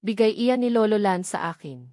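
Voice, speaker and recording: A woman's voice: mid-range voice, expressive, a little fast, warm tone, dry recording, clean studio sound, close to an everyday voice. A woman voicing a pouting, headstrong young woman in love, in a romance audiobook. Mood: pouty, affectionate.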